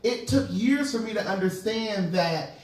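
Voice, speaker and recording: A man in his late thirties with a noticeably high voice talks earnestly about his own life in a group chat. He sounds set back from the mic, with a very echoey room around him. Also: lively intonation, a little slow, darkish tone, recorded from a distance. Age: late 30s. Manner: earnest, personal storytelling. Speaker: panelist. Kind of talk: casual group discussion.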